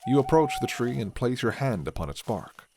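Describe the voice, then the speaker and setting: A man narrating a scripted fantasy story in the second person, with measured, dramatic storytelling. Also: mid-range voice, very animated, vocal fry, background noise.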